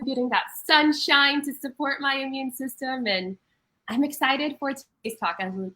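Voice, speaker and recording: A woman's voice: fairly high pitch, pushed and bright, poor audio. A young adult woman wellness coach, a little congested from a cold, explaining nutrition in an earnest, upbeat podcast chat. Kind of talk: casual podcast talk.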